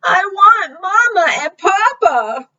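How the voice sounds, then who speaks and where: A woman's voice: very high voice, lively intonation, slow, bright, forceful tone, highs cut off, pitched above the performer's own voice, exaggerated voice. A woman voicing a little lost penguin chick, earnest and plaintive, in a children's storybook. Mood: wailing.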